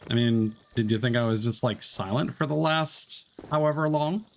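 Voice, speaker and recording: A man streaming a video game, chatting along in a relaxed, joking way and laughing often. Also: mid-range voice, moderate pace, vocal fry. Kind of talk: casual gameplay commentary.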